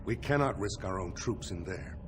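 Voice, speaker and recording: An actor playing an authoritative older dwarven lord in a video game, formal and measured as a military planner. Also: male voice, animated. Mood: commanding. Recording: noisy rumbling ambience behind.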